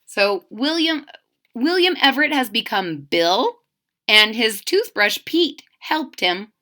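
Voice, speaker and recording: A woman storyteller with a high, bright voice reads to young children at an unhurried pace. She is expressive, adding simple explanations and questions as she goes. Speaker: storyteller reading a picture book aloud to children. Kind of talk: animated read-aloud with asides and questions to young listeners.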